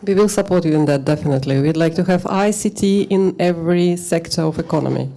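A woman's voice: lowish voice, fast talker, dark tone. A woman moderating a conference panel, with brief affirming interjections and hand-offs between speakers. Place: conference hall stage.

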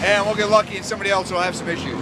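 A man's voice: high-pitched, fairly even intonation, racing. A young man giving casual vlog updates as a kart racer, in a raised voice on an action camera outdoors. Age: young adult. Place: outdoors at a kart track, under canopy or in open lot. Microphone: action camera at arm's length. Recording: loud engine and outdoor ambience noise. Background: loud go-kart engines.

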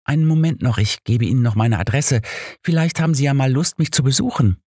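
A man's voice: mid-range voice, fairly quick, mellow, husky, dry recording, clean studio sound, voice pushed up, natural voice. A man voicing a flirtatious, forward female train passenger in an audiobook. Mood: cooing, eager. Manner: cooing, coquettish. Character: flirtatious forward lady train passenger, later shrill and panicked.

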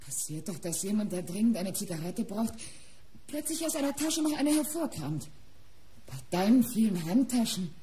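An actress playing a bitter, defiant jilted young woman suspect in a German radio crime drama, her voice husky. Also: medium pitch, big pitch swings, moderate pace, mellow, slight background noise, close to an everyday voice. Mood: mocking.

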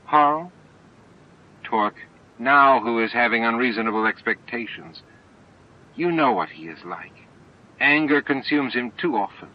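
A man voicing a gentle, supportive female insect-like alien in a dramatized audiobook, speaking with calm reassurance. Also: mid-range voice, unhurried, pauses often, pitched above the performer's own voice. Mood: amused reassurance. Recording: dull lo-fi audiobook tape with music.

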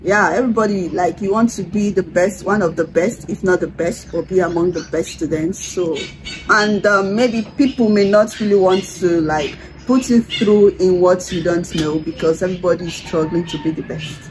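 A woman's voice: fairly low pitch, normal speed. A young woman hosting a casual interview indoors, chatty and laughing often, asking playful questions. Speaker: host. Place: indoors, room with a red wall and a barred window. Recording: noisy home video.

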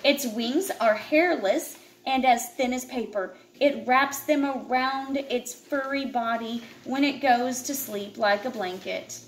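A woman in her thirties reading a children's nature book aloud at home in an expressive way, with asides to young listeners. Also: on the high side, slow, few pauses. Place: indoors, open living room and kitchen at home. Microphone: camera a short distance away. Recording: echoey room with clear background noise.

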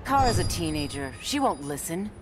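A woman's voice: lowish voice, sing-song, normal speed. An actress playing a proud, commanding female warrior goddess in video game fight banter, formal in her phrasing. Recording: game audio over loud background noise.

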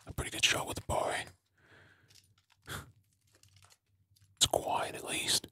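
An Australian man playing a gruff, wary zombie apocalypse survivor in a whispered ASMR role play, terse, urgent and husky. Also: bright, forceful tone. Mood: tense, hushed. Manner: terse, urgent, slow clipped phrases.

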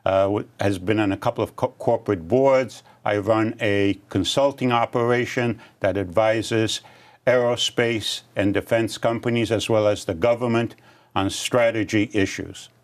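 An older man from Romania, a candidate being interviewed in a studio, answering in a measured way with many restarts. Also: lowish voice, steady tone. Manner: measured, with frequent restarts and fillers. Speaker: congressional candidate guest. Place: dark studio set, seated at table.

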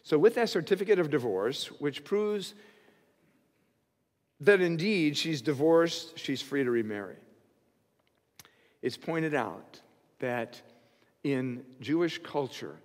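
Earnest older pastor preaching in a reverberant church. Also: male voice, darkish tone. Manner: earnest preaching, rising in pitch and volume on key points. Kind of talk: expository sermon. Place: church stage behind a pulpit. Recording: long hall reverb of a church sanctuary.

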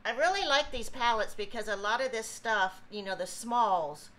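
A woman's voice: a little high, expressive, moderate pace, bright, forceful tone. A woman in her fifties, chatty, explaining items as she unpacks a pallet at a home table. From Ohio, USA. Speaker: reseller vlogger. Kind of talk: casual unboxing chat. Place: home room with table, indoors.

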